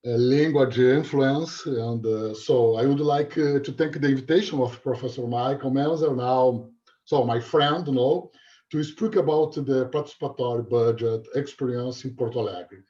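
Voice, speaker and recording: A man's voice: mid-range voice, moderate pace, mellow. A man from Brazil, a native Portuguese speaker with a Brazilian Portuguese accent, giving a hesitant academic talk with many uhs over a video call. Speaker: guest lecturer. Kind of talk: academic presentation. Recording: dull lo-fi call audio.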